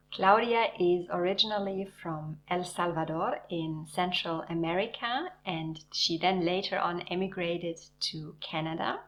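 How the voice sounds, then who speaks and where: Low-voiced woman hosting a knitting video. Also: a bit bright. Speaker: knitting channel host. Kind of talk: scripted video narration.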